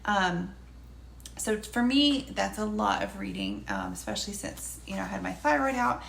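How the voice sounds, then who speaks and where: Middle-aged woman, homeschool mom reviewer, scratchy and gravelly, chatty and unscripted; roomy sound. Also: medium pitch, huge pitch swings, moderate pace, a bit bright. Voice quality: slightly scratchy, creaky voice. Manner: conversational, meandering. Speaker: homeschooling mother reviewing a curriculum. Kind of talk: casual review vlog, unscripted. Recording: echoey room with faint background noise.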